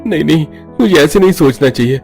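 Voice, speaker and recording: A man's voice: fairly high pitch, animated, fast talker, vocal fry, a little mellow. A man voicing a nervous, greedy man in a cartoon horror story, stammering and muttering to himself. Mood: anxious. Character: nervous, greedy man sneaking into a haunted palace. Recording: music behind the voice.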